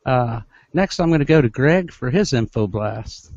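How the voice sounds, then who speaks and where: A man's voice: mid-range voice, lively intonation, darkish tone, dry recording. A middle-aged man hosting a live group video call on a headset, talking loosely with restarts. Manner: loose, halting, with many restarts and laughs. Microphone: headset with headphones. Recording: dry, dull video-call audio.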